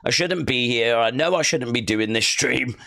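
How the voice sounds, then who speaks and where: A man's voice: medium pitch, moderate pace, gravelly, sharp tone, no echo, clean studio sound. A man hosting a live stream, rambling and repeating himself, his voice tired and weak. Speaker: live streamer hosting a fight watch-along. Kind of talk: casual live-stream chat. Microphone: streaming microphone.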